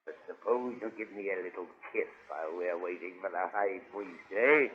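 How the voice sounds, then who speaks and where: An actor playing a blustering, leering tyrant king of the Hawkmen in a gravelly, theatrical 1930s radio serial style. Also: deep voice, moderate pace, natural voice. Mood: leering, wheedling. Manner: bellowing, gloating, theatrical. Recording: tinny band-limited 1930s radio recording.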